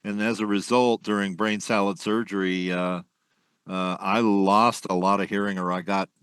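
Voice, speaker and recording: An older man, a former musician, reminiscing at length in a casual interview, rambling with many pauses. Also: on the low side, little pitch movement, a bit bright, clear, resonant tone, no room sound, clean studio sound. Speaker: guest, musician.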